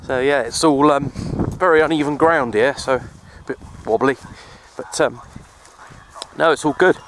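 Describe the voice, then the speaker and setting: A man's voice: medium pitch, unhurried, a bit bright, slight background noise. A man chatting informally in a matey way as he narrates an outdoor CB radio session. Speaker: CB radio operator and vlogger. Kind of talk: casual outdoor vlog and radio chat. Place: outdoors on an open hill.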